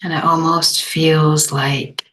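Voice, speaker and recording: A woman leading a guided meditation in a gentle, unhurried way, leaving long pauses between short phrases. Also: low voice, flat delivery.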